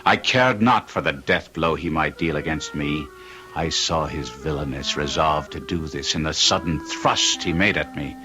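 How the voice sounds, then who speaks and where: An actor playing a tormented young gentleman in an old-time radio drama, with intense, theatrical and formal archaic delivery. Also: a bass voice, normal speed, faint music behind. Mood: frenzied, grim. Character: Guido, tormented young gentleman recounting a duel with a monster.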